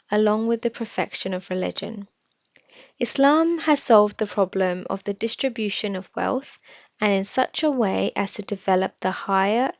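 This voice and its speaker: A woman reading a scripted religious study text aloud in a measured, formal manner. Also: mid-range voice, a little mellow, no room sound. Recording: slightly dull, dry recording.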